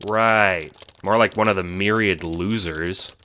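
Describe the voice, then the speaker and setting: A man's voice: low-pitched, takes it slowly, bright, forceful tone, a little hiss or hum, full character voice. A man playing a cocky, immature teenage internet prankster with a taunting manner in an audio drama. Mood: snarky. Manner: taunting, juvenile.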